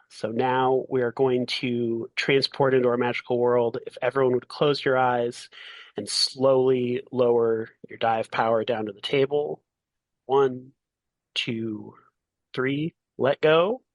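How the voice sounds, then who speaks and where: A man's voice: medium pitch, no room sound, rough recording. A man running a tabletop game as game master, narrating in a casual, playful, conversational way.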